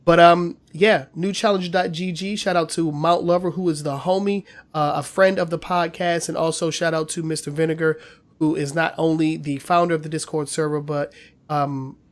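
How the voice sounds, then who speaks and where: A man's voice: a little high, steady tone, moderate pace. A man co-hosting a casual gaming news podcast, chatty with many fillers and slightly nasal from a cold. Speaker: podcast co-host.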